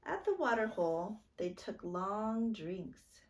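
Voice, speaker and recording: A woman reading a children's book aloud as a storytime narrator, expressive and deliberate. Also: medium pitch, a little hiss or hum. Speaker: storytime reader.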